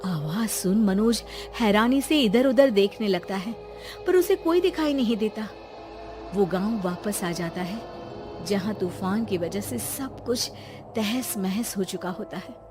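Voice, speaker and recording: A woman's voice: mid-range voice, lively intonation, unhurried, music in the background. A woman storyteller reading a scripted cartoon story in a dramatic narrating style.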